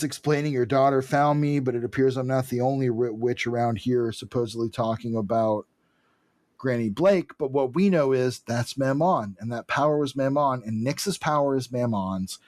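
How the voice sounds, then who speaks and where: A man's voice: mid-range voice, moderate pace, a little mellow, no room sound. Man co-hosting a podcast, dry close sound. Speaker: podcast co-host. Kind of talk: casual comic-book recap and commentary.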